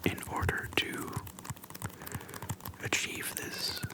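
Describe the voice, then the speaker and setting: Asmr creator whispering softly right up on the mic, casual fan chat, slow n breathy. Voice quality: soft, breathy unvoiced whisper. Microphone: close microphone for ASMR.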